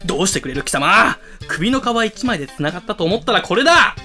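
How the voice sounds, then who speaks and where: A man's voice: sits high, very animated, fast talker, bright, forceful tone, faint music behind, heavily acted. A man playing a theatrical, fanatical school club president turned villain in an amateur voice drama, grandiose and melodramatic. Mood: indignant, desperate.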